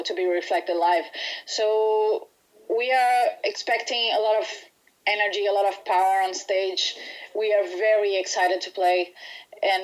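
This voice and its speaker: A woman's voice: mid-range voice, rough recording. Chatty Brazilian woman in an interview. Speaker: band musician being interviewed. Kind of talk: casual interview chat.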